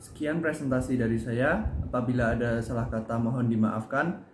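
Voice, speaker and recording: A teenage male student delivers a scripted school presentation. He explains things carefully, one item after another, in a medium-pitched voice. The room echoes around him and a faint noise sits behind the recording. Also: fairly even intonation, normal speed.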